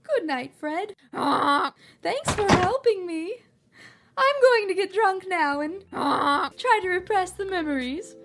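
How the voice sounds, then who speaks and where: A woman voicing a nervous, traumatised mother swan, timid and halting, in a cartoonish audio drama. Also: extremely high pitch, animated, a bit bright, a little hiss or hum, heavily acted. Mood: weary, tearful.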